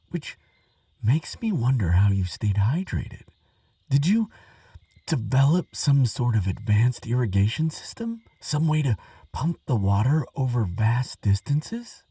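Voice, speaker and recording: A man playing a nervous, kindly, chatty researcher in an audio roleplay, hesitant and gentle, rambling with small stammers. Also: mid-range voice, husky, no echo, distant mic, full character voice. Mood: curious, hesitant. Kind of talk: audio roleplay monologue addressed to the listener.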